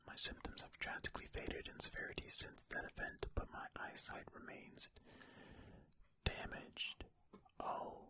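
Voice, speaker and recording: An ASMR host whispers throughout in a breathy, voiceless tone with a very bright, sibilant edge. The delivery is casual and musing, moving between reading comments aloud and chatty asides to the listener. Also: extremely high pitch.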